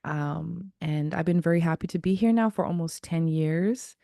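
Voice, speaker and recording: A woman in her thirties from Zimbabwe, a jazz singer as a guest, telling her story in a reflective, unhurried way. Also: fairly low pitch, gravelly, mellow, no echo, clean studio sound. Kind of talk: casual interview.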